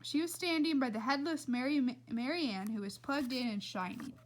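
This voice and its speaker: A woman reading a children's novel aloud as an expressive storyteller. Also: high voice, moderate pace. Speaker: reader.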